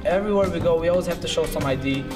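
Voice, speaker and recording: A young man in his twenties speaking with rapid frustration in a street interview. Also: on the high side, distant mic, over music. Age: 20s.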